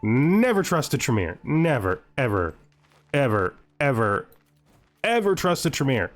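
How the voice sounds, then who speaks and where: A man's voice: mid-range voice, sing-song, a little slow, soft background music. A man hosting a gaming video, thinking aloud in casual commentary and playfully gloating with in-character asides.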